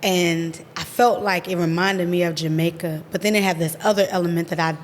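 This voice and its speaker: A woman with an American accent, a guest in an interview, telling her own story in an expressive, animated way. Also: fairly low pitch, a little fast, in a large hall, a little hiss or hum. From USA.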